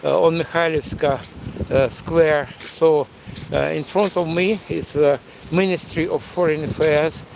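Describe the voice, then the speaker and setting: A Ukrainian-accented man whose first language is Russian, live-streaming outdoors in the rain and wind. He talks haltingly, with many "uh" fillers. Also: a little high, fairly even intonation, gravelly. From eastern Ukraine. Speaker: live-stream host and tour guide. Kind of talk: live-streamed walking chat.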